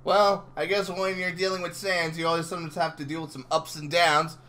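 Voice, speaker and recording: A man chatting casually as an interviewer, with an excitable, exclamatory way of talking. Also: on the high side, brisk, a little hiss or hum. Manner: excitable, exclamatory, swearing emphatically. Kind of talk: casual fan interview chat.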